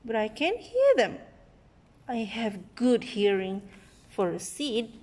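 A woman reading a picture book aloud expressively to a child, in a deliberate manner, recorded on a handheld phone indoors. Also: a little high, big pitch swings, darkish tone, in a large hall. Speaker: read-aloud storyteller. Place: indoor room with wooden floor. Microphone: handheld phone near the book.

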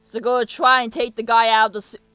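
A man's voice: very high-pitched, little pitch movement, fairly quick, bright, forceful tone, smooth, dry recording. Young man reacting, dry close sound. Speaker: reaction video host. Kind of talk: casual reaction commentary, reading on-screen captions aloud.

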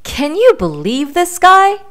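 Woman as a dramatic, slow-drawling, possessive pigeon. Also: extremely high pitch, huge pitch swings, a bit bright, voice pushed up, full character voice. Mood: indignant. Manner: theatrical, emphatic, drawn-out. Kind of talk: children's storybook read-aloud.

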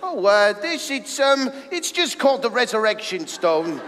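An actor playing a flippant, scatterbrained wizard headmaster with a halting, stammering delivery in a comedic staged reading. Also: male voice, very high-pitched, big pitch swings, a bit bright, heavily acted. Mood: sheepish. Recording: echoey hall, audible room noise.

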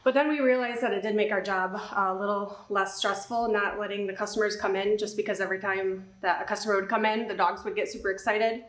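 A young woman who owns a grooming salon, answering interview questions casually with many pauses and ums. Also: medium pitch, fairly even intonation, echoey room. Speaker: salon owner. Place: pet grooming salon, indoors.

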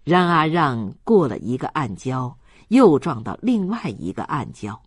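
An audiobook narrator reading a novel in a measured, expressive storytelling style. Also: mid-range voice, breathy edge, dry recording, studio quality. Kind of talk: scripted novel narration, slow dramatic storytelling.

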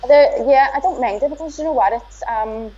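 A woman's voice: high voice, moderate pace, warm tone, a little hiss or hum. Guest, Belfast woman in her thirties, easygoing and laughing, muffled lo-fi call audio. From Northern Ireland. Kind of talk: casual video-call chat. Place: room at home, indoors. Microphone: laptop or phone camera on a video call. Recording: dull lo-fi video-call audio.

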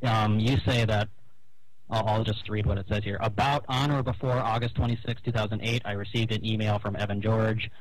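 A man talking on a phone call, airing grievances in a halting, explanatory way. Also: fairly low pitch, fairly even intonation, no echo. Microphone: telephone call.

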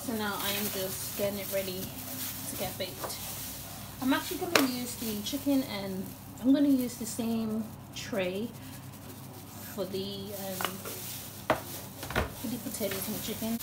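A woman's voice: mid-range voice, unhurried, pauses often, heavy background noise. Hesitant woman narrating her cooking. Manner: hesitant, lots of ums and restarts. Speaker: cooking vlogger. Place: home kitchen, indoors.

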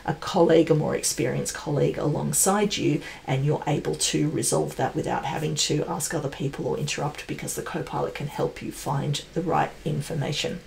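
A woman's voice: low-pitched, steady tone, brisk, gravelly, hardly any pauses, a little mellow, slight background noise, low-quality recording. A woman in her forties giving an enthusiastic, conversational and emphatic explainer on product announcements, as a tech presenter. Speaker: tech channel presenter, pre-sales professional.

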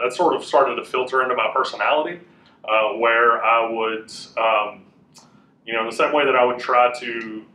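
Loud, booming male teacher in echoey classroom. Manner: chatty, relaxed, with many fillers. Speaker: Bible teacher. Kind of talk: casual classroom lecture. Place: classroom with a whiteboard, indoors. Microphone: room mic from a fixed camera. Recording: echoey room, voice a bit far off.

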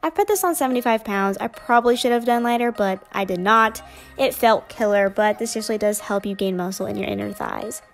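A woman's voice: mid-range voice, brisk, creaky, faint music behind. A young woman narrating her gym workout in a casual, upbeat voice-over. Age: young adult. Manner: casual, chatty, upbeat explaining. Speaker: fitness vlogger.

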